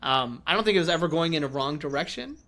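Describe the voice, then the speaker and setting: A man's voice: fairly high pitch, expressive, quick pace, pushed and bright. A man hosting a casual podcast chat about writing, speaking in an effusive, informal manner. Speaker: podcast host and writer.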